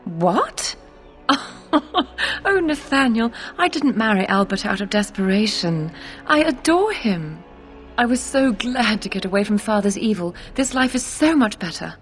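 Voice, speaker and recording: An actress playing a warm, frank young noblewoman and loving sister in a video game, speaking earnestly and directly. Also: mid-range voice, expressive, a little slow, faint music behind, natural voice. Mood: joyful.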